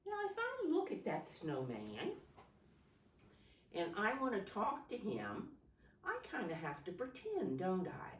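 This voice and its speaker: A woman's voice: mid-range voice, wildly swooping pitch, unhurried, slight background noise. A woman leading storytime for young children, talking simply and instructively with questions and prompts as she reads a picture book aloud. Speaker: preschool teacher reading a picture book aloud. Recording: roomy echo, distant microphone.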